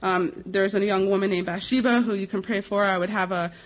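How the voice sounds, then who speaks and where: A young American woman speaking as a missionary, giving an informal slide presentation in a conversational, earnest and halting way. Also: medium pitch, fairly even intonation. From United States. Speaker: missionary giving a ministry report. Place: live talk before an audience. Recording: dull, slightly lo-fi recording.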